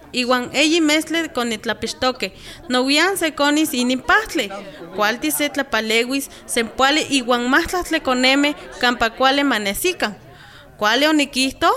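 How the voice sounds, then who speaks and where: A woman's voice: sits high, bright, forceful tone. A woman playing an eager young village woman in a scripted radio drama.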